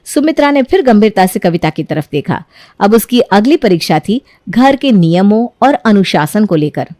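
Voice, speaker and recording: A woman's voice: mid-range voice, very animated, normal speed, dark tone, dry recording, clean studio sound. A woman narrating a scripted moral story as its storyteller.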